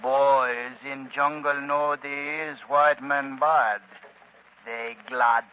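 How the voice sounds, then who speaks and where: An actor playing a loyal, grave native servant in halting broken English, in 1930s radio drama style. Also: male voice, medium pitch, little pitch movement. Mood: solemn, loyal. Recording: dull, faintly noisy 1930s radio recording.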